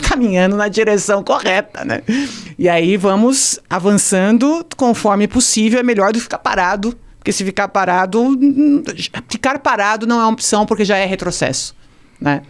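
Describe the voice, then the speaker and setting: A woman hosts a relaxed interview. Her voice is smooth and clear in a middle register. She talks at length and keeps tossing in small tag questions as she builds up to her point. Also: mid-range voice. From Santos, Brazil. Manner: discursive, peppers speech with tag questions. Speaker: podcast host.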